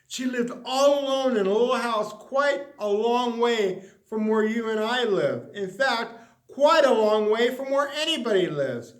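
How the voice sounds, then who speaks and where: High-voiced man reading a storybook, echoey room. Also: lively intonation. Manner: dramatic, with drawn-out emphasis and repeated words. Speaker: story reader. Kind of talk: expressive children's storybook narration.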